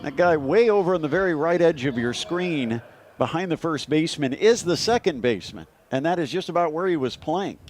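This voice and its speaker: A male baseball commentator with an American accent and a somewhat high-set voice. He describes and analyses plays in the style of a live game broadcast. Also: lively intonation, brisk, a little mellow, a little hiss or hum.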